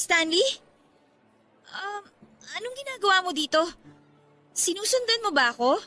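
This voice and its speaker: An actress as a young woman in a radio romance drama, with a very high, sharp voice. She is guarded and easily irritated, speaking with heavy feeling over background music. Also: big pitch swings, takes it slowly, long pauses, heavily acted. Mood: curt, defensive. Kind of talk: Tagalog radio drama acting.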